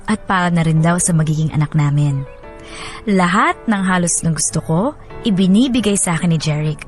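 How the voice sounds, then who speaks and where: A woman's voice: lowish voice, natural voice. Actress as earnest Filipino woman narrating over music. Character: young Filipino wife earnestly recounting her love story. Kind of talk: first-person dramatic narration. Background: soft music.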